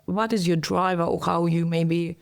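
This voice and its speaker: A woman's voice: fairly low pitch, fairly even intonation, moderate pace, creaky, clear, resonant tone. A woman hosting an interview, asking questions hesitantly in non-native phrasing. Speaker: host. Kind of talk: interview host.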